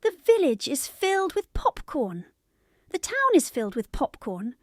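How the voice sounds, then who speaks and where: A woman voicing an urgent, excitable young female fairy in a bedtime storybook, with a high, thin voice. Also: a little slow, dry recording, studio quality, exaggerated voice. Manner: urgent, exclaiming.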